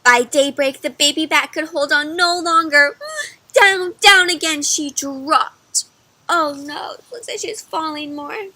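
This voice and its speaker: High, bright woman reading to children. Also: a little slow, no echo. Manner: expressive, asks questions, chatty asides to young listeners. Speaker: read-aloud storyteller for children. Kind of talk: interactive children's picture-book read-aloud with questions.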